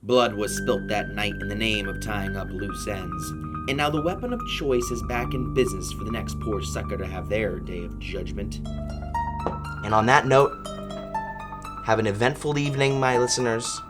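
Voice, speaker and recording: An actor playing an ominous, theatrical crime podcast host narrating a scripted mystery. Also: male voice, fairly low pitch, animated, moderate pace, lightly acted. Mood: ominous, showy. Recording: loud plucked music behind.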